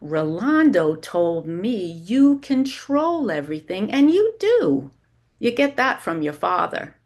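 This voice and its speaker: A woman's voice: medium pitch, sing-song, takes it slowly, pitched above the performer's own voice. A woman voicing a chatty, blunt older mother who monologues over the phone in an audiobook-style story reading. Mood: complaining. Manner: brash, monologuing, interrupting.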